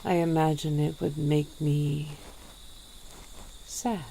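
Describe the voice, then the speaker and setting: A woman voicing a centuries-old female vampire in an ASMR roleplay, speaking in a slow, drawn-out, purring way. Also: flat delivery, creaky, slight background noise, full character voice, low-quality recording. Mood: languid. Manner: slow, low, drawn-out purring delivery with soft laughs.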